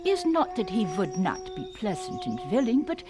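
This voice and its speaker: A German farm wife in broken English. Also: female voice, fairly high pitch, huge pitch swings, moderate pace, over music. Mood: gentle, proud. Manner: broken English, warm and fussing. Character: kindly older German farm wife, gentle and proud. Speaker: actress in radio drama. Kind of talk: 1940s radio drama acting.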